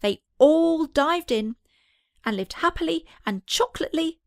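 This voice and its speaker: A woman's voice: high voice, lively intonation, measured pace, no room sound, studio quality. A woman narrating a scripted children's story as an audiobook reader.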